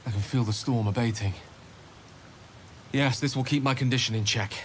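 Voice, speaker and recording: An actor playing a courteous, learned wizard with a grave secret, speaking in formal, wordy video game dialogue. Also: male voice, medium pitch, normal speed, a little hiss or hum, natural voice. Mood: relieved, grave. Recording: music and rain behind the voice. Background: music, rain.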